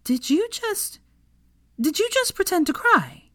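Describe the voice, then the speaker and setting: A woman reading as a nervous young woman in a storybook. The voice is lifted high and swings about, pleading and easily flustered. The sound is close and dry. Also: big pitch swings, moderate pace, warm tone, dry recording. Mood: stunned.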